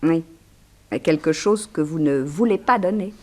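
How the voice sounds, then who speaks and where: An actress playing a poised, gently teasing young newspaperwoman in a 1960s French radio drama. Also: low voice, moderate pace, close to an everyday voice. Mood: amused, curious.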